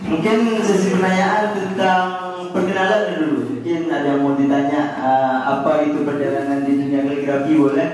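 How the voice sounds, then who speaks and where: A man's voice: on the high side, a little fast. Young man talking in an echoey hall. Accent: Indonesian, Acehnese. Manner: relaxed, chatty, asks the class questions. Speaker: calligraphy trainer. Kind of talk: casual workshop talk to students. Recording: echoey hall, voice from far off, students around.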